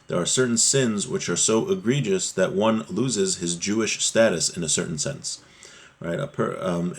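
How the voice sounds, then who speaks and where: Low-pitched man teaching religious text. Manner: explanatory, phrase-by-phrase delivery. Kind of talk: religious lesson, reading Hebrew text aloud and translating it.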